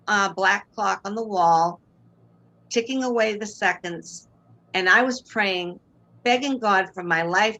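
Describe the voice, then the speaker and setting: An older woman with a Boston accent speaks over a webcam from a room at home. She tells her story earnestly and with strong faith, in a voice of ordinary middle pitch. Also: sharp tone, rough recording. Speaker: guest. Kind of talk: personal testimony in interview. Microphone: video call webcam.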